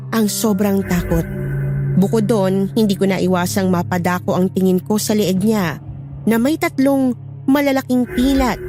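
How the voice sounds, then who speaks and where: A woman's voice: mid-range voice, natural voice. A woman reading scripted first-person horror narration as a storyteller.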